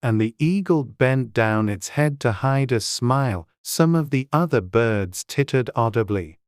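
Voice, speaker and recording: A man narrating a classic children's story in a gentle, even storytelling manner. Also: mid-range voice, measured pace, no room sound, clean studio sound.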